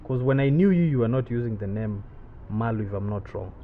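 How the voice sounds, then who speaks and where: A man's voice: medium pitch, expressive, a little fast. Hesitant man on thin phone-like audio. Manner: hesitant, with many restarts. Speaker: podcast host. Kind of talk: casual interview questions.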